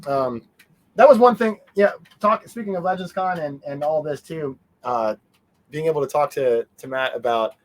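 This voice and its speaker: A man giving a casual interview as a guest, animated and meandering, often trailing off mid-sentence. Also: a little high, normal speed, rough recording. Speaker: interview guest, fan convention organizer. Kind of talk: casual rambling interview chat.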